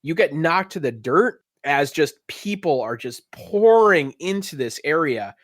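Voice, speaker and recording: A man's voice: a little high, expressive, a little slow, dry recording, clean studio sound. A man running a tabletop role-playing game, narrating in a theatrical, descriptive storytelling manner. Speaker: game master.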